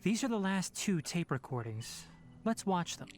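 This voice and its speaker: An actor playing a composed, analytical young male investigator in a video game, speaking in a measured, thoughtful manner. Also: high voice, very animated, faint music behind.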